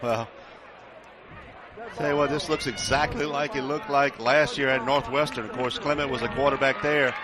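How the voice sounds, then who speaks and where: A man's voice: medium pitch, fairly even intonation, normal speed, crowd noise. American guy doing color commentary on a football game, mid-range voice, conversational breakdown of plays. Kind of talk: live football analysis, conversational.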